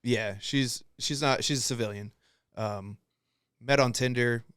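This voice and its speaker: A young man hosts a casual podcast, swearing freely and rambling through stories while acting out other people's lines. His voice sits at an ordinary pitch, and the sound is dry and close. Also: measured pace, a bit bright, clean tone, no room sound. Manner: profane, rambling storyteller who acts out quotes. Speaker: podcast host.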